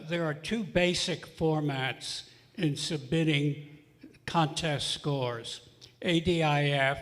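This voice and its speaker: An older man speaking deliberately and informally to a club meeting, explaining things and telling anecdotes. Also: a little high. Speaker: club member addressing a radio club meeting. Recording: echoing hall with long reverb.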